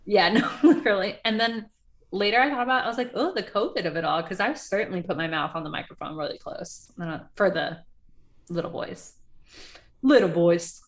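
A young woman on a casual podcast, gabbing about a reality show. Her talk is animated and full of laughter, with swearing dropped in freely. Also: medium pitch, fairly quick. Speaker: podcast co-host. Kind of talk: casual reality TV recap chat.

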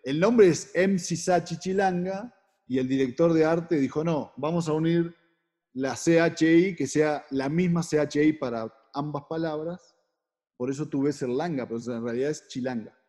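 Male guest, somewhat high-pitched, informal interview chat. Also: normal speed, warm tone. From Uruguay. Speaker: advertising agency partner, interview guest. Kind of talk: casual conversation.

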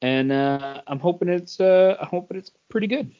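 A man co-hosting a podcast, giving a casual comic book preview in a halting way with deliberate pauses. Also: sits high, clean tone. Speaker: podcast co-host. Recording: dull, lo-fi podcast audio.

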